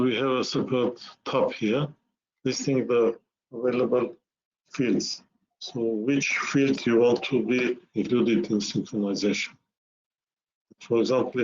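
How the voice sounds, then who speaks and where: Guy walking thru a software demo on a webinar, kinda halting, step by step, dull lo-fi sound. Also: medium pitch. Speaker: software presenter. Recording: muffled lo-fi webinar audio.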